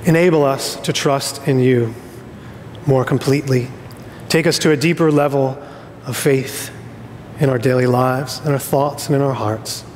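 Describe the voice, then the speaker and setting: A man's voice: fairly even intonation, a little mellow. Male pastor in a reverberant hall. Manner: deliberate, phrase-by-phrase pauses. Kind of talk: sermon and prayer. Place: church stage, indoors. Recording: reverberant hall, rumbling background noise.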